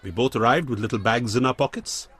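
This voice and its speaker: An actor playing a smug, menacing crime boss in a radio drama, with an Indian accent, mock-courteous and taunting, pausing deliberately. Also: male voice, mid-range voice, fairly bright tone, husky. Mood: smug, confiding.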